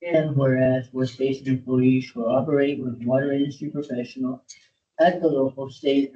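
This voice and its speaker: A man from Cuba leads a public board meeting in a mellow, warm voice. He talks haltingly with many pauses and then reads out a formal proclamation. The sound is dull and lo-fi, like a meeting-room recording. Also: distant mic. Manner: hesitant, many filler sounds. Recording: dull low-fidelity meeting audio.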